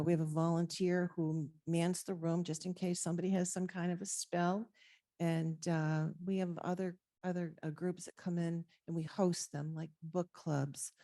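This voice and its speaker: A soft-spoken woman walks a council committee through her department's services. Her voice sits low and mellow, and the room sound is dry and close. She talks steadily, with plenty of ums and small restarts. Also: no echo. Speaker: council on aging director presenting budget. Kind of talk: public meeting presentation. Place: city council chamber. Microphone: table microphone.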